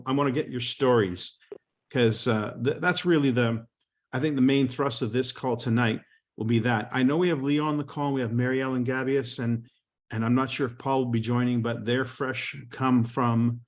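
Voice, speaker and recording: Man hosting a team call; middle pitch, coaching and encouraging, restarts often. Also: fairly even intonation, normal speed. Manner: explanatory, encouraging, frequent restarts. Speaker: host of a sales team call.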